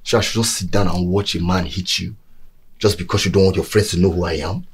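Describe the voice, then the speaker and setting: An actor playing a hurt, defensive young boyfriend who pleads and argues. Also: male voice, fast talker, a little hiss or hum. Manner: pleading, exasperated, lively rises in pitch.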